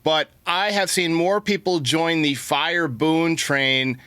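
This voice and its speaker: Man, higher-pitched, bright punchy voice, dry close sound, blunt sports podcast host. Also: steady tone, takes it slowly, clear, resonant tone, no room sound. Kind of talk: opinionated baseball commentary and listener voicemail replies.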